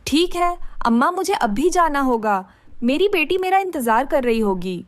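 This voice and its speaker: A woman's voice: high voice, sing-song, moderate pace, no room sound, studio quality. A woman playing a kind, helpful village vegetable seller, earnest and exclaiming, in a children's moral story. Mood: concerned, caring.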